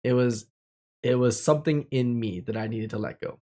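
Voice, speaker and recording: A man's voice: medium pitch, moderate pace, mellow. A young man telling personal stories in a casual, candid and reflective way, speaking informally. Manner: candid, informal, with mild swearing.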